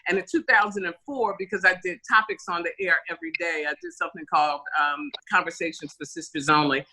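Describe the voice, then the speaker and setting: A woman's voice: lowish voice, fairly even intonation, fast talker, sharp tone, breathy edge, low-quality recording. A woman radio host and author chatting on a video call in a chatty, upbeat, rambling way. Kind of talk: casual video call chat.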